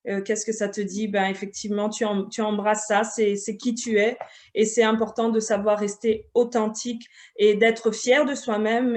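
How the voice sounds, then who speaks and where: A woman from Congo, a community leader speaking as a guest in an online panel discussion, halting often with fillers and restarts. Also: mid-range voice, a little mellow. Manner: hesitant, many restarts and fillers.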